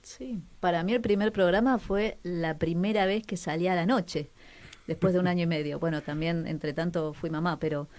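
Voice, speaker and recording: A young Argentine woman with a fairly low voice co-hosts a relaxed radio chat. She speaks in a musing way and pauses to search for the right word. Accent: Argentine Spanish. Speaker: radio show co-host.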